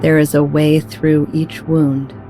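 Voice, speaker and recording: A woman reading a poetic spiritual meditation in a solemn, measured, deliberate way, as an audiobook narrator. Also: deep voice, little pitch movement, over music.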